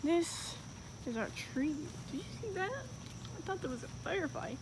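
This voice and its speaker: A woman's voice: very high voice, big pitch swings, unhurried. A woman vlogging casually from her backyard and home, chatty and giggly, rambling as she goes. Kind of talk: casual vlog chat. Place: backyard outdoors, then indoors. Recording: noisy home video recording.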